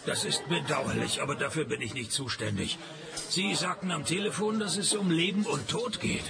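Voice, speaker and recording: An actor playing a dry, sarcastic private detective in a radio play, speaking in a deadpan, ironic way. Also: male voice, on the high side, moderate pace, gravelly, over music. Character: dry, sarcastic male private detective, unimpressed and quick-witted. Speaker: lead detective and narrator. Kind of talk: radio play dialogue and first-person narration.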